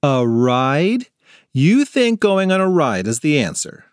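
A man voicing an eager, competitive young kid in an audiobook, earnest and excitable. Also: on the high side, slow, dry recording, studio quality, pitched above the performer's own voice.

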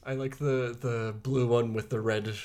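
A man's voice: medium pitch, flat delivery, unhurried, a little mellow, a little hiss or hum. Easygoing man sharing film trivia. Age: young adult. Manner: easygoing, shares trivia, laughs along. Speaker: podcast co-host. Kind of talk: casual film discussion.

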